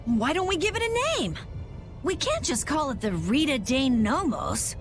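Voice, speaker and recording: A woman's voice: sits high, very animated, a little slow. A woman voicing an eager, naive and loyal young kid adventurer in an anime game dub, with music behind. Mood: eager excited.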